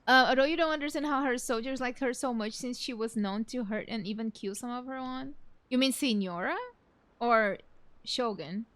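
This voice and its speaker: A woman's voice: on the high side, normal speed, a bit bright. A young woman streaming a game with casual, slangy, playful and opinionated commentary.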